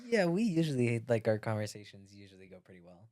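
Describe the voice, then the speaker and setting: A man from Latvia, a podcast guest, talking casually in a relaxed, unhurried way with many pauses. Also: mid-range voice. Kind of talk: casual conversation.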